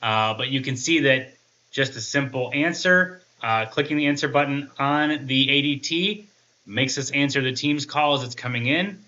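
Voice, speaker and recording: A man's voice: medium pitch, moderate pace, bright, forceful tone, lo-fi sound. Man presenting a tech demo. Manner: explanatory, step-by-step. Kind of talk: conversational product demo narration.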